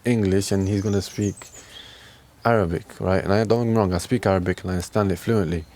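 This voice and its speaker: A man with a fairly low voice talks candidly in a casual outdoor conversation. He is emphatic, often repeating a phrase and adding little check-in tags. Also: fairly even intonation, fast talker, darkish tone, a little hiss or hum. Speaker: interviewee. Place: outdoors on a park bench.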